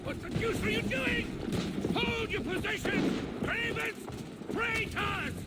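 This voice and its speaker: An actor playing a fierce militia captain in a video game, shouting commands to his men in battle. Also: extremely high pitch, takes it slowly, very noisy. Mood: fierce, commanding.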